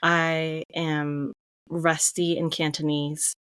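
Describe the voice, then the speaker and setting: A young woman from Connecticut chats on a book club podcast, her voice sitting low and recorded close and dry. She talks loosely, fills her sentences with "like", and laughs easily. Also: little pitch movement, takes it slowly, a bit bright, clean tone, no room sound. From Connecticut, USA. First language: English is her third language. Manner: chatty, many "like" fillers, laughs easily. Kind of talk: casual chat.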